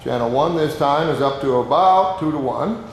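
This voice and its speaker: A man's voice: fairly high pitch, animated, normal speed. Man giving instructions in a very echoey space. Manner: plain-spoken, informal, step-by-step. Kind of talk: hands-on how-to demonstration. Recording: very echoey reverberant room, background noise.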